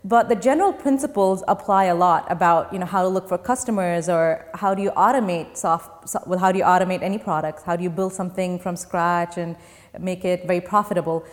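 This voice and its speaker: A woman's voice: on the low side, brisk. A young woman from Dubai, a startup founder, answering interview questions in an earnest, step-by-step way. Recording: long reverb.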